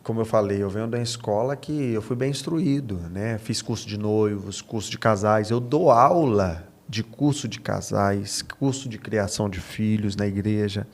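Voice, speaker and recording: Brazilian male pastor in conversation. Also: fairly low pitch. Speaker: pastor, podcast guest. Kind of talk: conversational testimony.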